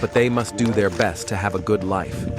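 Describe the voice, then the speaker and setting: A man whose first language is Persian, narrating a travel vlog in an earnest, prayerful, unhurried way. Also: on the low side. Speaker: travel vlogger. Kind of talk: reflective voice-over narration. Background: music.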